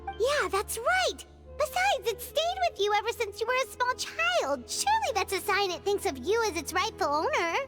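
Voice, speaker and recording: A woman voicing a tiny, childlike, eager companion sprite in a video game, with a squeaky, chirpy, emphatic delivery. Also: very animated, normal speed, loud music behind the voice. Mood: encouraging.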